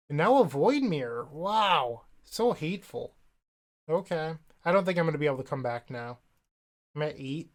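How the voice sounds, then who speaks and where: A young man with a somewhat higher voice talks through his card game turns, a little sniffly from a cold. He thinks out loud with dry, self-deprecating remarks, sounding like he sits at a home desk mic. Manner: thinks aloud, wry, self-deprecating asides. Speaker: gaming content creator. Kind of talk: casual gameplay commentary.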